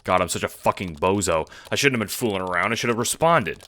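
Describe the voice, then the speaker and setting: A man's voice: low-pitched, expressive, quick pace, sharp tone. A man playing a casual, foul-mouthed young time-traveling guy in an audio drama, talking in hesitant slang. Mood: distressed, angry.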